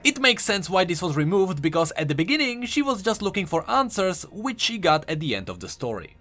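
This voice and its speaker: A man's voice: high-pitched, very animated, brisk, a bit bright. A man doing an enthusiastic, conversational voice-over commentary as a video host. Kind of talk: game lore commentary voice-over.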